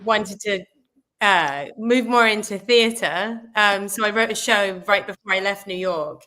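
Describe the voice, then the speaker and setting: A woman from England, a guest actress, answering thoughtfully in a casual interview with many pauses. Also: mid-range voice, sharp tone.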